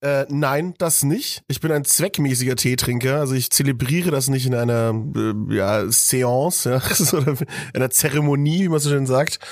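A man's voice: medium pitch, normal speed, no echo, studio quality. A man co-hosting a podcast in casual chat, joking playfully with lots of fillers, a little under the weather with a cold. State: slightly ill with a cold. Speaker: podcast co-host.

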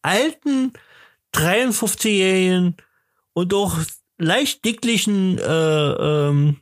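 A man of fifty-three with a slightly high-pitched voice hosts a podcast. He rambles along casually in German conversation, jokey and self-deprecating. He is from eastern Germany. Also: slow pace. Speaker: podcast host.

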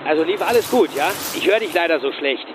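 A noisy phone call from a brisk, distracted boss played by a man. His voice is on the high side, hearty and hurried, with clear vehicle noise around it, in a naturalistic podcast drama. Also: expressive, lightly acted. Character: brisk, distracted male boss checking in while on the move. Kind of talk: naturalistic fiction podcast acting.

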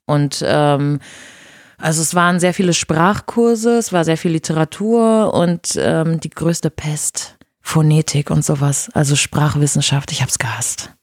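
Woman from Brazil, frank and low-voiced. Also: measured pace, no room sound, clean studio sound. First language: Portuguese. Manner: relaxed, frank, with fillers. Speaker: guest. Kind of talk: casual interview chat.

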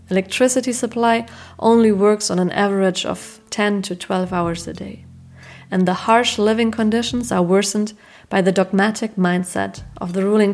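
A woman reading a scripted news narration in a measured, informative way. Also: mid-range voice, a little mellow. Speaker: documentary narrator.

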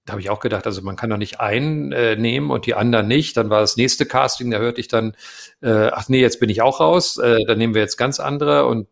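Gravelly-voiced man, hesitant. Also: medium pitch, fairly quick. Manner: hesitant, many filler sounds. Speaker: voice actor guest. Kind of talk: casual interview talk.

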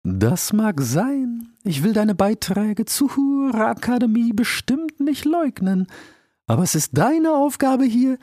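A man doing a slow, high-voiced old turtle. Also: dark tone, no echo, clean studio sound, heavily acted. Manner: very slow, measured, grave. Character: slow, solemn, aged male turtle scholar.

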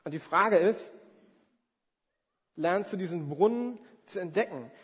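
A man's voice: sits high, expressive, unhurried. A man in his forties preaching in a conversational, emphatic way, with everyday examples and rhetorical questions. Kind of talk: sermon with everyday examples and acted-out asides. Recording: reverberant hall.

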